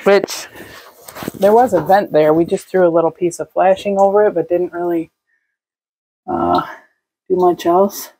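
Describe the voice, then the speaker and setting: A young woman casually narrating a walkthrough of a camper she is fixing up, relaxed and chatty with frequent ums. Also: fairly low pitch, measured pace, long pauses, slightly rough, poor audio. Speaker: vlog host. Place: inside a gutted camper trailer.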